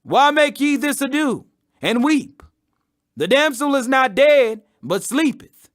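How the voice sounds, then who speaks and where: A commanding yet compassionate holy healer voiced by a male narrator speaks high and clear of purpose. Each phrase is declared with deliberate, authoritative emphasis and wide melodic movement in this scripture reading. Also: lively intonation, fairly bright tone, full character voice. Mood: firm.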